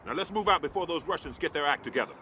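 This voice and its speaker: Man as a gruff, fast-talking army sergeant. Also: on the high side, animated, lightly acted. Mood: steady, commanding. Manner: blunt, commanding. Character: gruff, no-nonsense male army squad sergeant. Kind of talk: video game military acting. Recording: clear vehicle and outdoor noise behind.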